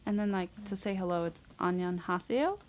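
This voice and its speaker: A woman's voice: lowish voice, rapid-fire, a little mellow, a little hiss or hum. A woman with an American accent chatting informally as a podcast co-host, often saying "like". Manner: chatty, informal, frequent use of "like". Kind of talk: casual podcast chat.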